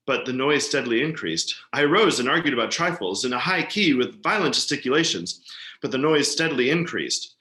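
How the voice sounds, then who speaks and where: A man playing a nervous, boastful, unhinged murderer confessing his crime in a theatrical, intense first-person gothic story reading, with sudden hushes and swells. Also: medium pitch, lightly acted. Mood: uneasy, anxious. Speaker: story reader. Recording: roomy, echoing room sound.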